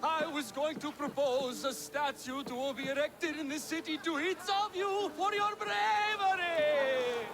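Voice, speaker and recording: A man's voice: very high-pitched, a little slow, few pauses, music in the background. A man playing a pompous, vain ruler in a farce, declaiming melodramatically like a hammy stage actor. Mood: pompous outrage. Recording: noisy outdoor ambience behind voice.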